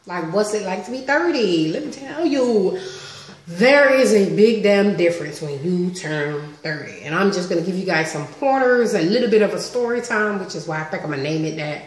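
A woman in her thirties chatting casually on a home vlog, animated and talkative, recorded on a webcam in her living room. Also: mid-range voice, normal speed, reverberant. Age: 30s. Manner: chatty, animated with lots of hand gestures. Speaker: vlogger. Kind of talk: casual story-time vlog. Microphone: webcam close up.

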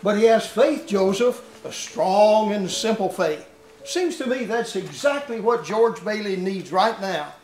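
Older guy as kindly heavenly boss, measured and commanding, radio play, echoey stage. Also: sits high, expressive, darkish tone, soft background music. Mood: calm authority. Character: kindly authoritative heavenly chief of angels. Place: theater stage. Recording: echoey stage, distant camera.

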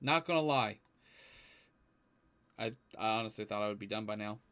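A man's voice: lowish voice, normal speed, fairly bright tone. A young adult man giving casual, informal reaction commentary as host of a video, recorded in a room at home. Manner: informal, mild swearing. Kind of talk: casual live reaction commentary, some reading aloud. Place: small indoor room at home.

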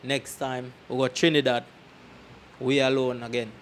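A man's voice: mid-range voice, little pitch movement, unhurried. A man with a Jamaican accent telling anecdotes casually and emphatically into a handheld microphone as an interview guest, often checking that the listener follows. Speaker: interview guest, dancer recalling tours. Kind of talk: casual anecdotal storytelling in patois. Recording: clear background noise and ambience.

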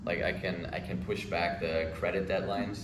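A man's voice: sits low, steady tone, moderate pace. A young man from Germany answering interview questions in a loose, rambling way, often restarting his sentences. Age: young adult. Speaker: ice dancer, interviewee. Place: small indoor interview room. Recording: echoey room with background noise.

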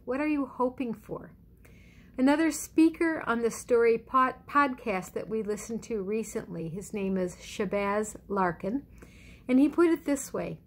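A middle-aged woman pastor giving a conversational sermon at home in a measured, reflective way with deliberate pauses. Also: a little high. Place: indoors in a living room at home. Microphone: phone or webcam at arm's length.

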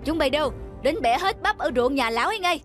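A man's voice: very high-pitched, animated, quick pace, a bit bright, soft background music. A man playing an arrogant, greedy rich landlord in a cartoon folk tale, haughtily barking orders. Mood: domineering.

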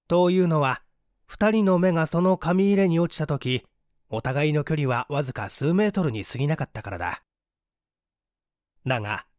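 A male audiobook narrator reads a mystery story in a measured, dramatic way. His fairly high voice moves expressively up and down, with deliberate pauses. The sound is dry and close. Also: darkish tone, no room sound. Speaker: audiobook narrator, stage performer.

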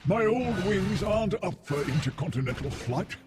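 An actor playing an elderly robot in a video game, a weary old veteran. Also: male voice, high-pitched, lively intonation, normal speed, over music. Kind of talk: video game character acting.

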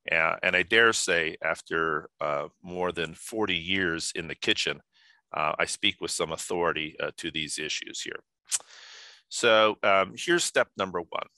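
Older guy with a really low bright voice, lots of uhs and ums, dry webcam sound. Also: no echo. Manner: halting, many filler sounds. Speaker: conference speaker. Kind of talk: video call keynote talk. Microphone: video call webcam.

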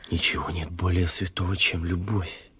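Very deep, husky, ardent duke. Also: male voice, level tone, moderate pace, pushed and bright, heavily acted. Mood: passionate. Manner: courtly, ardent, commanding. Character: passionate, imperious English duke in love with a queen. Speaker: radio drama actor. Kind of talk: theatrical radio drama acting. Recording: radio play with music underneath.

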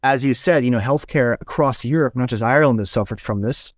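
A man's voice: medium pitch, fairly quick, no echo, clean studio sound. A man from Switzerland hosting a conversational interview, often restarting and stacking up his phrases. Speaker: podcast host.

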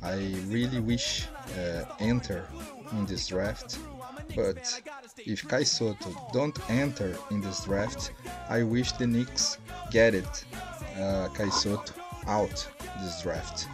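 Brazilian host in his forties, non-native English, slow and halting, low voice, headset mic in a home studio. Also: music in the background. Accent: Brazilian Portuguese. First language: Portuguese. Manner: halting non-native English with many fillers and repeated words. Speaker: channel host. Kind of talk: casual vlog address to viewers. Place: small indoor home studio.

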